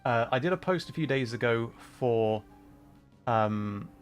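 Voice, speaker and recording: A man hosting a gaming livestream, chatting casually and musing aloud in a halting way. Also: medium pitch, animated, soft background music. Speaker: livestream host.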